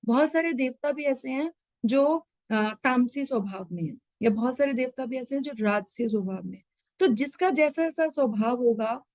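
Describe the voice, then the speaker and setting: High-voiced woman teaching scripture. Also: normal speed, a little mellow, no echo, rough recording. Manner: explains with everyday examples, mixes English terms. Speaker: Gita study session leader. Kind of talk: online scripture class.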